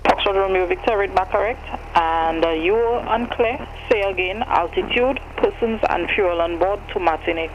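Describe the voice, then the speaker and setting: A man working as an air traffic controller, giving clipped clearances over an aircraft radio. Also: high-pitched, moderate pace, few pauses, lo-fi sound. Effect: aircraft radio transmission. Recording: noisy aviation radio with vehicle hum.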